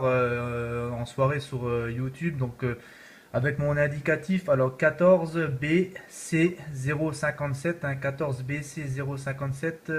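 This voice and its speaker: A man from France chatting casually as an amateur radio operator, in repetitive, informal operator jargon. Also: mid-range voice. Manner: repetitive, filler-heavy, informal.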